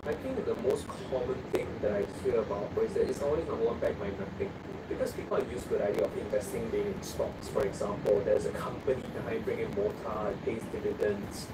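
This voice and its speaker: A man's voice: fairly low pitch, a little fast, few pauses. Chatty man interviewing in a noisy street. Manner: friendly, chatty, mixes in bits of other languages. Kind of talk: casual street conversation. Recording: echoey, distant, loud street noise.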